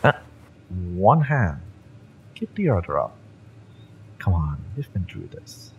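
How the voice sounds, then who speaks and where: A fiery mercenary, acted by a man, in an audio drama, with a low voice that swings expressively. He taunts slowly and deliberately, cocky and menacing, over noticeable ambient noise. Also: sing-song, gravelly, heavily acted. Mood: taunting. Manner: taunting, sardonic, drawn-out deliberate delivery. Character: fiery male mercenary, cocky, exasperated, menacing yet familiar. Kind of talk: scripted romance audio roleplay acting.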